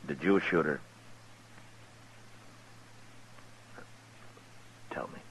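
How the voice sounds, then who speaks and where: A man acting a stern, steady frontier lawman in an old-time radio western, speaking deliberately. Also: extremely low pitch, slightly rough, exaggerated voice. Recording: noisy old radio drama recording.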